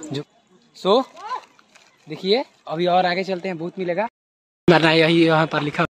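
A young man with a high voice narrates a travel vlog outdoors into a phone held at arm's length. He talks in a lively, excited way, and loud outdoor noise runs under every word. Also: a little slow. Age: young adult. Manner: excited, calling out and cheering. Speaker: travel vlogger. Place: outdoors by a river and waterfall. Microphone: phone selfie at arm's length. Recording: noisy outdoor phone recording.

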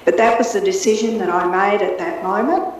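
A woman councillor explaining her vote at a council meeting, halting and hesitant with many fillers. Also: mid-range voice, slight background noise. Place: large council chamber, indoors. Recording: very echoey chamber, mic far off.

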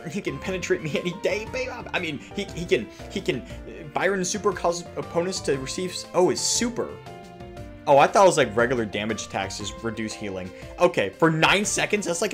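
A man's voice: a little high, fairly bright tone. A young man hosting a gaming channel with casual, jokey, exaggerated reaction commentary full of crude slang. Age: young adult. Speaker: YouTube gaming host. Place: small home room.

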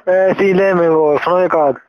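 A man's voice: sits high, steady tone, measured pace. A man with a Mewati accent chatting casually as a caller on a recorded phone call. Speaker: caller asking for songs.

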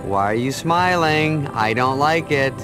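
Casting: man to voice cocky dragonfly comic relief for a fantasy game, very animated, teasing and sarcastic. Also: mid-range voice, a little slow, close to an everyday voice. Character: small wisecracking male dragonfly sidekick, cocky and sarcastic. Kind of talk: comic video game cutscene acting. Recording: music behind the voice.

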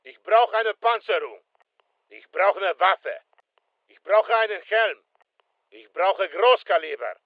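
An urgent male soldier from a military video game, voice pitched very high for a man. He gives short, commanding callouts at a slow, deliberate pace, through a narrow, phone-like sound. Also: animated, no room sound. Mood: terse, businesslike. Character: urgent, terse male special forces soldier.

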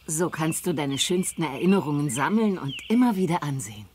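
A woman's voice: low voice, lively intonation, a little fast, background noise. A woman voicing a caring, welcoming middle-aged farm mother who explains things in a video game. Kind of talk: scripted video game dialogue.

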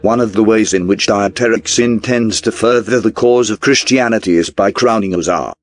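A man reading scripted documentary narration in a formal, measured newsreel style. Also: fairly low pitch. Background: background music.

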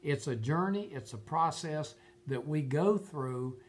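A man's voice: fairly high pitch, expressive, measured pace, slight background noise. An older man giving informal Bible teaching in an earnest, emphatic way, often repeating his phrases. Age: older, about 70s. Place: furnished room at home.

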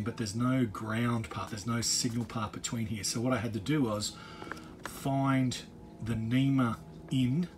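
A middle-aged man talks through a do-it-yourself job in an informal, unscripted way. His voice sits at a medium pitch, and he often rephrases as he works out the explanation. It is captured on a handheld phone, with noticeable ambient noise behind him. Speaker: boat owner giving a DIY walkthrough. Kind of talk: casual off-the-cuff tutorial. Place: on a boat.

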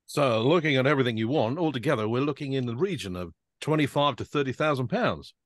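A man's voice: mid-range voice, expressive, fast talker, no room sound, close to an everyday voice. A man reading a pushy, salesy wedding planner. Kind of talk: script reading over video call.

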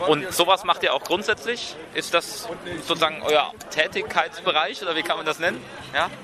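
Fast-talking man interviewing on the street. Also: fairly high pitch. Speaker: podcast interviewer. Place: outdoors in a busy city street. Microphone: handheld field microphone. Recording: outdoor street recording with crowd noise.

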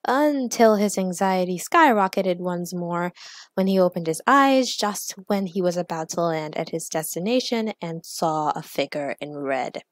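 A woman narrating fiction aloud in an expressive, measured, dramatic storytelling style. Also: medium pitch, no room sound. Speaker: narrator and author of fan fiction.

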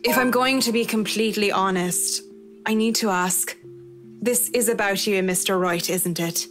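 A woman voicing a polite, reserved young professional in a visual novel game, speaking in a measured, businesslike way. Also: medium pitch, soft background music, close to an everyday voice. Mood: cautious.

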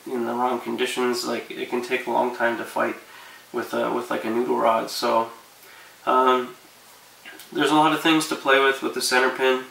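A man in his thirties giving relaxed, rambling fishing advice to camera from his living room couch, with lots of fillers. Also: medium pitch, moderate pace, gravelly, echoey room, far from the mic, poor audio. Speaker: fishing vlogger.

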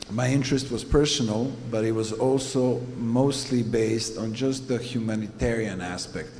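A thoughtful man from Serbia talks through his answers at a panel, pausing and restarting often. His voice sits low. It rings out in a long hall reverb over a faint background hum. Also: a little mellow. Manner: earnest, thoughtful, many fillers. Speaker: photographer guest on a panel. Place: museum exhibition hall.

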